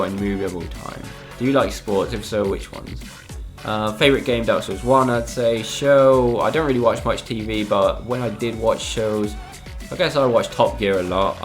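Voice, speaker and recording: A young man with a lowish voice, a gaming YouTuber from Spain, reads out fan questions and answers them casually. He hedges a lot and pauses on "um". Faint music plays under his voice. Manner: relaxed, hesitant, full of ums and "I don't know".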